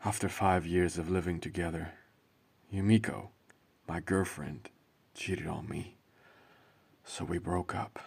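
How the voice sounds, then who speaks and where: A man's voice: low voice, fairly even intonation, a little slow, creaky, pauses often, breathy edge, pitched below the performer's own voice, exaggerated voice. A man voicing a heartbroken, kindly, easily startled young man in a dramatic manga dub. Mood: gloomy, brooding.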